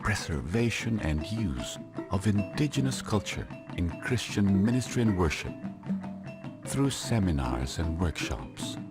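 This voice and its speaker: A man giving measured, deliberate scripted documentary narration. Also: deep voice, sing-song. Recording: narration over clear background music. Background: instrumental music.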